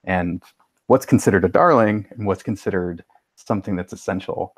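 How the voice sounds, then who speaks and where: A man's voice: low voice, moderate pace, slightly rough, no room sound. A man giving his opinions casually as a panelist on a podcast, with tentative starts and blunt views.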